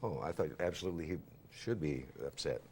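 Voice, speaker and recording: A man's voice: extremely low pitch, expressive, fairly quick, darkish tone, husky. A man hosting a cable talk show, chatting with a guest in a studio, joking and reading out listener questions. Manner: joking, teasing, reads listener questions aloud. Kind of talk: casual studio chat with a guest.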